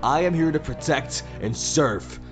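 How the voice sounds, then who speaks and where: A man's voice: a little high, animated, a little slow. Man playing a solemn, oratorical new president over music. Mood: accusing, resolute. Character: zealous new president declaring himself dictator for life. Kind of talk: audio drama political speech.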